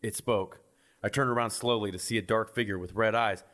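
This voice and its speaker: A man's voice: lowish voice, steady tone, fairly quick, a bit bright. A man reading a scripted first-person creepypasta as a horror story narrator. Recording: music behind the voice.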